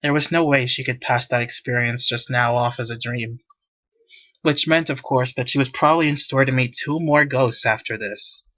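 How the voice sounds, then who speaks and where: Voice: mid-range voice, fairly even intonation, moderate pace, bright, forceful tone, dull audio. A narrator reading scripted visual novel prose. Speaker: narrator and reader of all parts. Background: background music.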